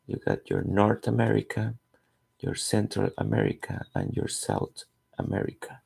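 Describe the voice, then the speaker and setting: A man in his forties from Costa Rica, a native Spanish speaker with a Costa Rican accent and a gravelly, husky voice, chatting casually and searching for words as he draws. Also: on the low side, big pitch swings, moderate pace, darkish tone. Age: 40s. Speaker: comic artist streaming his drawing. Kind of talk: casual chat while drawing.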